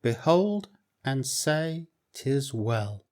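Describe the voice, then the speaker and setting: A man voicing a proud, loyal court lady in a storybook reading, speaking in a stately, measured way. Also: medium pitch, sing-song, darkish tone. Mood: reverent.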